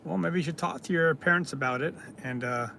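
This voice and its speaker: A man from California, hosting a casual live stream, reading out viewer comments and answering them in a halting way with many fillers. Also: fairly high pitch, creaky, pushed and bright, slight background noise. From California, United States.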